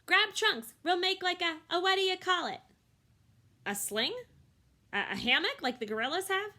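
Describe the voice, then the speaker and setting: A woman's voice: extremely high pitch, moderate pace, pitched above the performer's own voice, full character voice. A woman voicing a young, eager and determined female elephant in a storybook reading. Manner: eager, pleading, excited.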